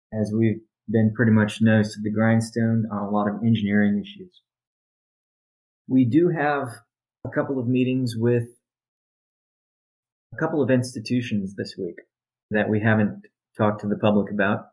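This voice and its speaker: A man speaking as a company chief executive on a conference call, in measured, deliberate and halting phrases. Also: fairly low pitch, darkish tone, rough recording. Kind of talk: business update and question-and-answer on a conference call.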